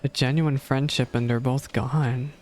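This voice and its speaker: A game streamer giving casual, empathetic live reactions and musing haltingly. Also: medium pitch, fairly even intonation, darkish tone, a little hiss or hum. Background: game music.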